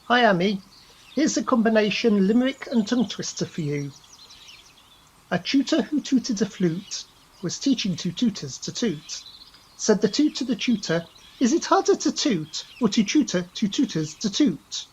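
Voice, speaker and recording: A woman's voice: medium pitch, lively intonation, normal speed. A woman caller leaving a playful, recited message. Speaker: voicemail caller. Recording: dull lo-fi message, outdoor background noise.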